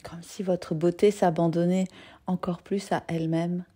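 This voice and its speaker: A woman yoga nidra teacher leading a guided meditation in a gentle, soothing, soft-spoken manner with long pauses. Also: lowish voice, flat delivery.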